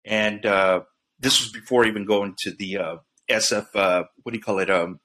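A man's voice: lowish voice, steady tone, moderate pace, fairly bright tone. A middle-aged man, a guest on a talk show, telling stories in a rambling way full of restarts and fillers. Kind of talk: casual storytelling.

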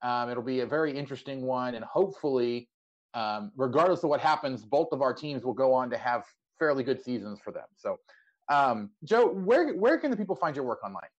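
A man's voice: medium pitch, expressive, a little fast. A man hosting a sports podcast, talking casually in an interview. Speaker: podcast host. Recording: slightly dull, lo-fi audio.

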